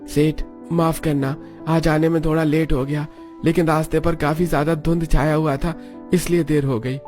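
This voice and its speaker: Poor cartoon labourer, played by a man, fairly high voice, humble but proud, light music bed. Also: little pitch movement, normal speed, a little mellow, natural voice. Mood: apologetic. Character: poor male factory worker, apologetic yet proud. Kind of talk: cartoon story acting.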